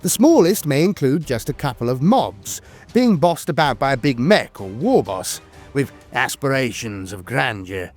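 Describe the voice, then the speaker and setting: A man's voice: mid-range voice, big pitch swings, normal speed, soft background music. A man reading scripted lore narration in a theatrical, emphatic storytelling manner.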